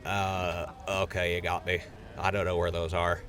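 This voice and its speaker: A man playing a shy, low-voiced young soldier. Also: monotone, normal speed, over loud music, close to an everyday voice. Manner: shy, hesitant, earnest. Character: nervous young male infantry soldier. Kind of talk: audio drama acting.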